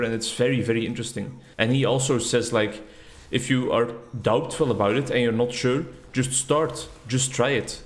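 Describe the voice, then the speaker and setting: A young man, a native Dutch speaker, chatting in a rambling, reflective way while commenting on his gameplay. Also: on the low side. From Netherlands or Flanders. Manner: rambling, reflective, self-deprecating. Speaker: gaming YouTuber. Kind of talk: casual commentary over gameplay.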